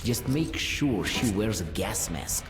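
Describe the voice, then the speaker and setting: An actor playing a cold, commanding military villain in a video game, threatening. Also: male voice, fairly low pitch, animated, takes it slowly. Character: cold, menacing male military villain giving orders. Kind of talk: video game cutscene acting. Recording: echoing hall with music.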